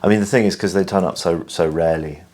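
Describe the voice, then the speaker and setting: A man's voice: deep voice, fast, darkish tone. A British man hosting a casual Q&A, explaining things in a dry, matter-of-fact way with frequent ums and restarts. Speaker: host. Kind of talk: casual conversational Q&A.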